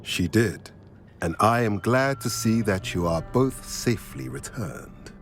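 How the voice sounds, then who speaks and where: An actor playing a wise old male centaur who reads the stars, speaking in a measured, cryptic way in a video game. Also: fairly low pitch, lively intonation, faint music behind. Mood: solemn. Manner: slow, measured, solemn and riddling.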